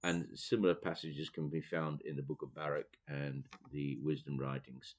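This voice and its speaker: A man with a Dutch accent, reading from a theology book and pausing to explain, with many fillers and restarts. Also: rumbling low, little pitch movement. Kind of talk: reading aloud with commentary.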